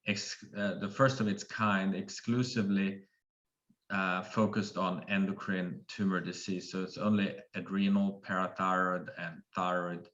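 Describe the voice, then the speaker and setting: A middle-aged man from Sweden with a Swedish accent, giving a webinar presentation as a surgeon in a halting way, full of fillers and restarts. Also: low voice, flat delivery, a bit bright, dull audio. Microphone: video call.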